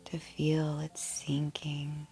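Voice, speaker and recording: A woman leads a guided relaxation in a low, slow voice. Her delivery is gentle and soothing, with soft breathy endings to her phrases. Faint music plays behind her. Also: monotone. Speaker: yoga nidra guide.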